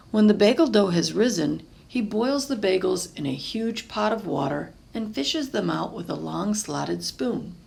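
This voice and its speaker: Gravelly-edged woman reading a picture book aloud. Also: medium pitch. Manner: expressive, with explanatory asides. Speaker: storybook reader, teacher.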